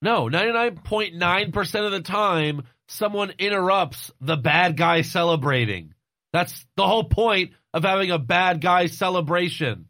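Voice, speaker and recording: A man's voice: high voice, animated, normal speed, bright, forceful tone, no room sound. A man from the United States co-hosting a casual wrestling chat, blunt and argumentative, swearing and correcting his friend. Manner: argumentative, cursing, firm. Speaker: podcast co-host.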